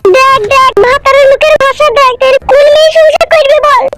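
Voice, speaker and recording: Piercing, brazen cartoon wife. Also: female voice, steady tone, fairly quick, a bit bright, faint music behind. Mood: defiant scolding. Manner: shrill, scolding, defiant. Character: brazen, sharp-tongued runaway wife in cartoon comedy. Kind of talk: cartoon comedy dialogue.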